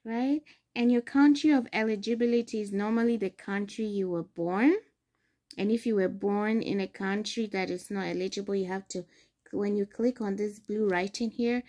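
A woman's voice: medium pitch, warm tone. A young adult woman from Ghana, hosting a screen-recorded phone tutorial and explaining each step patiently. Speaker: tutorial host.

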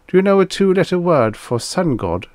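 An affable visitor from Venus, voiced by a male narrator, chatting breezily and matter-of-factly in an audiobook tale. The pitch swings freely and the sound is close and dry. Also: sing-song, moderate pace, a little mellow, no room sound. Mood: chatty, matter-of-fact.

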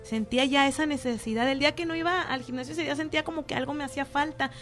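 High-voiced chatty Mexican woman host. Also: racing, a bit bright, faint music behind. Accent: Mexican Spanish. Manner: chatty, personal anecdotes, addresses audience as friends. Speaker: show host. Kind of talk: casual talk show chat.

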